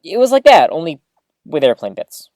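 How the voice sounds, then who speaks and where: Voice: medium pitch, normal speed, no echo, natural voice. An actor playing a rambling, easily flustered small-town radio host giving a live broadcast in an audio drama, awkward and chatty. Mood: chatty, enthusiastic. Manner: awkward, animated, throat-clearing, chatty.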